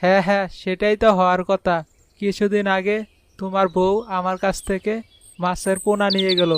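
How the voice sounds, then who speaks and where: A man's voice: sits high, level tone, fairly quick, smooth, no echo, lightly acted. A man voicing a friendly village seller of young fish who gives advice in a cartoon fairy tale. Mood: friendly, advising. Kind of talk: animated fairy tale voice acting.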